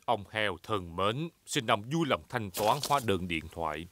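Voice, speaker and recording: A man's voice: on the low side, lively intonation, unhurried, noisy background. A man voicing a jovial, easygoing father pig in a children's cartoon dub. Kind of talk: animated children's show dub.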